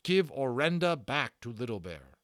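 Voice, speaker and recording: Proud warrior chief for a storybook, played by a man: stern, weighty, clipped broken grammar, very animated pitch. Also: normal speed, dry recording, studio quality. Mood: proud, earnest. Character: proud, stern male warrior chief, bitter yet honorable. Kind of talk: dramatic storybook character reading.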